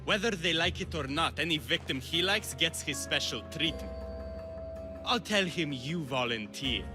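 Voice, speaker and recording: A man's voice: fairly high pitch, animated, normal speed, over music, close to an everyday voice. A man voicing a crude, menacing henchman who sneers and taunts in a video game. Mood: sneering, gloating. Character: menacing, sneering male thug, crude henchman of a crime boss.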